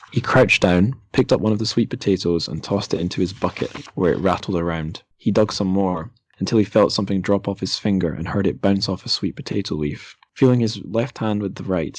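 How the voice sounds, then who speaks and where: A man's voice: low voice, slightly rough, no echo. A man hosting a literary podcast, talking casually and reading passages from a book. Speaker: podcast host.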